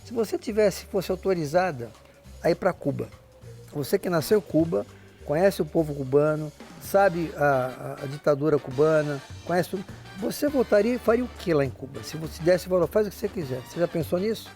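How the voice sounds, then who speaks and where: A Brazilian man hosting a TV interview, asking long, slow questions full of restarts and hesitations. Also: on the high side, expressive, darkish tone, faint music behind. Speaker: TV host.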